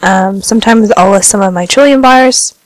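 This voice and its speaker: A woman with a slight cold giving relaxed, chatty commentary over her gameplay, sounding a little congested. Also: mid-range voice, normal speed, no room sound. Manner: relaxed, chatty, filler-heavy. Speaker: gaming vlogger.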